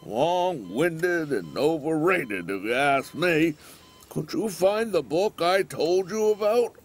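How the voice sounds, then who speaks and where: A man's voice: fairly high pitch, expressive, takes it slowly, faint music behind, heavily acted. An actor playing a cranky, crude old man in a hospital bed, grumbling, sarcastic and teasing, in an audio drama. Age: older. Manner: grumbling, sarcastic, bellowing for the nurse.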